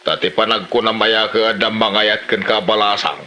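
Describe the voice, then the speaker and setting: A man's voice: fairly low pitch, steady tone, moderate pace, background noise, natural voice. A man acting a rural father in an Ilocano comedy drama, with an Ilocano accent. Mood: chiding, questioning.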